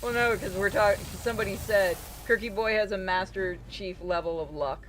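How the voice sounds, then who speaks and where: A man's voice: high-pitched, steady tone, fairly quick, fairly bright tone, a little hiss or hum, poor audio. A man streaming a game with casual commentary, blunt, sweary and opinionated. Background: game music.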